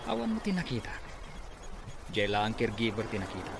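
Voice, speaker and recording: A man's voice: on the low side, very animated, brisk. A man with an Ilocano accent playing an impatient schemer in a radio comedy drama. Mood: grumbling. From Philippines. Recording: clearly noisy background ambience.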